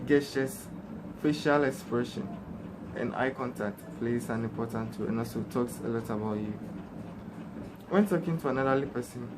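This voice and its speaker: A man's voice: mid-range voice, background noise. Young ghana-born guy presenting for class, mid voice, cars going by behind him. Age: young adult. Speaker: student presenter.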